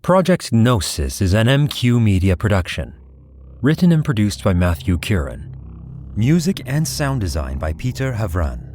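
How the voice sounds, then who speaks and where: Deep-voiced, lively male announcer. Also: moderate pace. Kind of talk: scripted podcast credits read.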